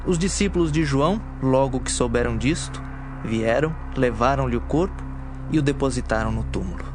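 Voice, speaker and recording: A man narrates scripture from a script. His voice is fairly high for a man. It is a dramatized Bible narration with music clearly playing underneath. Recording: clear music bed behind.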